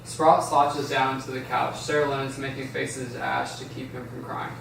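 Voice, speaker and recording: A man's voice: medium pitch, very animated, normal speed, gravelly. Man reading a script in an echoey room. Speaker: narrator reading stage directions. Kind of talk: script table read. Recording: echoey room, distant mic, faint noise.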